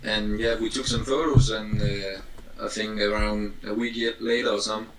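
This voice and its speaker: A man in his twenties from Denmark with a Danish accent, answering interview questions casually in hesitant, halting English as a non-native speaker. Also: lowish voice, flat delivery. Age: 20s. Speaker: interview guest. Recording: roomy, distant mic with faint noise.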